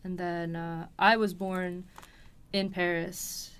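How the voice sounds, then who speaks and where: A woman's voice: on the low side, flat delivery, slow pace, a bit bright, smooth. A woman, telling a personal story in an interview, hesitantly piecing her thoughts together. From Los Angeles, USA. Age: 20s. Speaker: interview guest. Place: recording studio.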